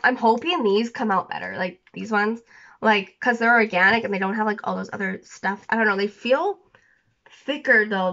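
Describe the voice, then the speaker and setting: Brief: young woman, sniffly and a little scratchy, bright higher voice, rambling bedroom vlog, dull lo-fi phone audio. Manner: rambling, many fillers and restarts. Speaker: vlogger. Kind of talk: rambling casual chat to camera. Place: small bedroom indoors.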